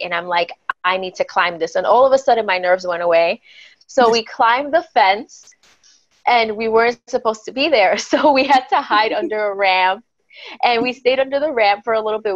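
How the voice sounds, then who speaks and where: A woman's voice: mid-range voice, no echo. A young woman in her twenties tells her dating stories with candid, bubbly energy and breaks into laughter often. Her voice comes through a wired earbud mic on a video call from a small bedroom, and the sound is dry and slightly dull, with a lo-fi quality. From Dominican Republic, raised in the Bronx. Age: 20s. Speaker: podcast guest. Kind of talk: casual storytelling on a video call. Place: small home bedroom indoors.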